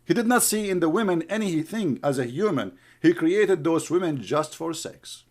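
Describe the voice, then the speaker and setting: Guy ranting, mocking and sneering, kinda high voice. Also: animated, normal speed. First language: Arabic. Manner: mocking, scornful, calls names. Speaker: online commentator. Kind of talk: heated argumentative commentary.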